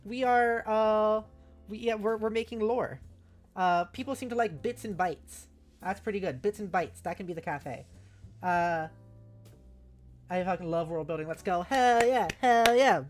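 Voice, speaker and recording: A woman's voice: mid-range voice, measured pace, darkish tone. A woman hosting a casual livestream, playful and sweary, reacting aloud with music behind her. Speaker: livestream host. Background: faint music.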